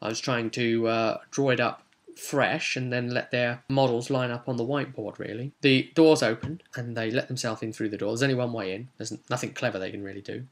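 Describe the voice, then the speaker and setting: A man's voice: medium pitch, steady tone, a little fast. A man with a British accent casually recounting a tabletop role-playing session as game master, chatty and explanatory, with asides to the viewer.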